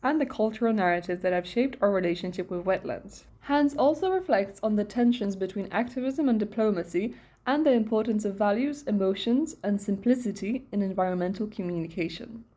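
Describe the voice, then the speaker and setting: Woman, podcast host, scripted intro, mellow tone, even reading. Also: mid-range voice, a little fast.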